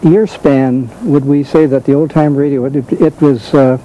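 An older American man co-hosting an informal talk show, often halting as he searches for words. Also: mid-range voice, fairly even intonation, dull audio. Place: community television studio.

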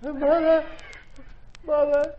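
An actor playing a delirious, dying army captain in a 1950s radio drama. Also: male voice, very high voice, monotone, extremely slow, heavily acted. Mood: delirious anguish. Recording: muffled, noisy 1950s radio recording.

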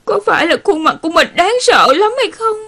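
A woman voicing a fussy, proper mother in a cartoon dub, dramatic and quick to chide. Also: very high-pitched, animated, vocal fry, heavily acted. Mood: puzzled, worried. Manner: chiding, dramatic. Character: fussy, scolding mother, proper and easily embarrassed. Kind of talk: Vietnamese cartoon dub acting. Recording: loud background noise with clicks.

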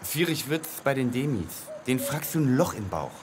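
A man plays a world-weary heavenly clerk in a radio play. He grumbles matter-of-factly in a middling pitch, with slight background noise. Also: very animated, moderate pace, lightly acted.

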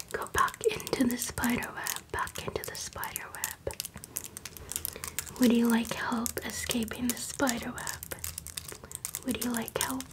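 A woman whispering playfully as an ASMR creator in a small decorated room indoors. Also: fairly high pitch.

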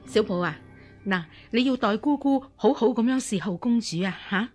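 An actress playing a dutiful, caring palace aunt in a Cantonese radio drama. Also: female voice, mid-range voice, animated, normal speed, darkish tone, soft background music, lightly acted.

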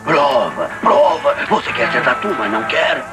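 A man's voice: medium pitch, very animated, normal speed, natural voice. Man as swooping, sly cartoon villain. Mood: coaxing. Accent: Brazilian. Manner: coaxing, jeering. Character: pushy, sly male villain, mocking tempter. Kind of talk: dubbed cartoon voice acting. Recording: loud music behind the voice.

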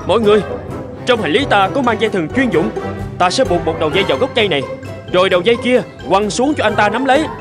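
Casting: earnest man of an old cartoon fable, declamatory, very lively intonation, music underneath. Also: high voice, fast. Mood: alarmed, urgent. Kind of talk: animated folk tale dub. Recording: music behind the voice.

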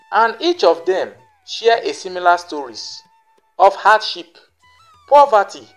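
Storyteller for scripted folktale narration, animated pitch, music bed.

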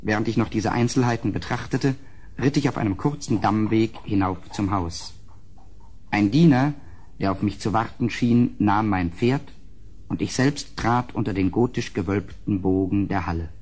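A man playing a grave, haunted gentleman who narrates a gothic audio drama in measured, solemn, literary phrases. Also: on the low side, breathy edge. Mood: grave, troubled.

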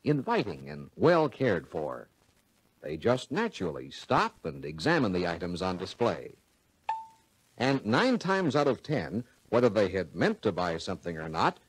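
A man's voice: fairly low pitch, slightly rough, poor audio. A man with an American accent narrating a scripted 1940s instructional film in a folksy, instructive way, speaking straight to the listener, on a vintage film soundtrack.

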